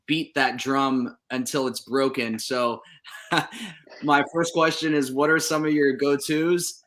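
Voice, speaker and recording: Relaxed man asking rambling questions. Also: medium pitch. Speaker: podcast host. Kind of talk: casual interview on a video call. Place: indoor room. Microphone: video call.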